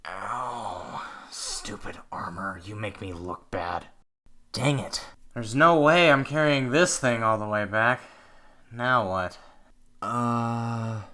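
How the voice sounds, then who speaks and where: A man playing a cocky, excitable young creature scavenger in an animated comic dub, talking to himself and exclaiming freely. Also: mid-range voice. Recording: music behind the voice.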